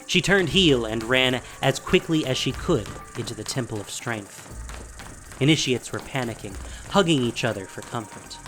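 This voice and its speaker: A man narrating a scripted fantasy audio drama with measured delivery and dramatic pauses. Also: mid-range voice, fairly bright tone. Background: faint music.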